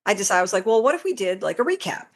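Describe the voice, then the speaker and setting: A woman's voice: mid-range voice, very animated, very fast. A woman guest in a casual podcast chat, talkative and explaining things at length. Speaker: podcast guest, fan fiction author.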